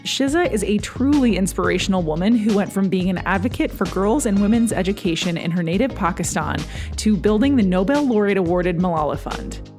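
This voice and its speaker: A woman's voice: medium pitch, animated, brisk, a little mellow, soft background music. A woman hosting a podcast, chatting with a guest in an enthusiastic, chatty way. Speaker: podcast host. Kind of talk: casual interview chat.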